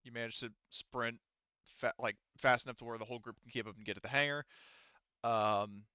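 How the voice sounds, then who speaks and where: A man running a tabletop roleplaying game, narrating casually in a halting, rambling, sweary way on a close mic. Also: medium pitch, fairly even intonation, bright, forceful tone, clean tone, no room sound, muffled recording. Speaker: game master.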